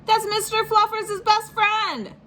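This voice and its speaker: A woman's voice: very high-pitched, fairly even intonation, slow, bright, forceful tone, slight background noise, pitched above the performer's own voice, heavily acted. A woman voicing a dramatic seven-year-old boy who pleads and bargains over his toys. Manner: whiny, theatrical pleading. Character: dramatic young boy, about seven, toy-loving.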